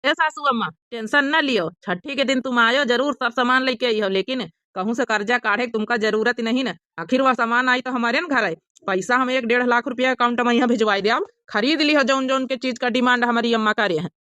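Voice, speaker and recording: A woman's voice: on the high side, fairly quick, hardly any pauses, bright, forceful tone, no echo. A woman voicing a protective, generous young married son in a cartoon comedy, earnest and reassuring, with an Awadhi accent.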